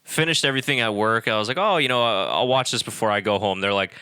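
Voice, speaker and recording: A man co-hosting a podcast, chatting casually and telling exasperated, animated stories. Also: lowish voice, fast talker, smooth, no echo. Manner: animated, ranting, exasperated storytelling. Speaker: podcast co-host. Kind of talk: casual chat.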